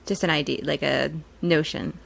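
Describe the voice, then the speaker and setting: A woman's voice: deep voice, fairly even intonation, normal speed, creaky. A woman co-hosting a podcast, talking casually and enthusiastically about a TV show with friends. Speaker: podcast co-host. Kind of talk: casual TV recap chat with friends.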